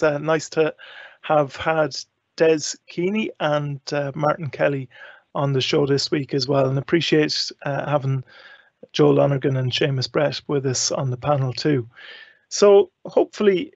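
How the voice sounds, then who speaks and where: A man with a fairly high, gravelly voice presents a live show. He talks in a chatty, rambling, informal way. The sound is close and dry but somewhat muffled. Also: dry recording. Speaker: host of a live tech show.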